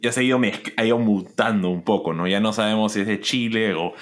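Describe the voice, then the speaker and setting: A man with a fairly low voice hosts a podcast. He sums up what the guest said and asks friendly questions in a relaxed way. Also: a little fast, a little hiss or hum. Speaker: podcast host. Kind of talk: casual interview conversation.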